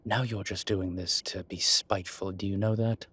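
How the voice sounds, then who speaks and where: A man playing a suave, wry incubus demon professor in an intimate audio roleplay, with a husky voice and hesitant pauses. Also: low-pitched, steady tone, a bit bright. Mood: teasing exasperation.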